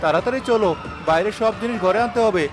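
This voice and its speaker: A man's voice: high voice, quick pace, music in the background, close to an everyday voice. A man voicing an anxious village husband in a cartoon story, speaking with urgency. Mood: alarmed, urgent.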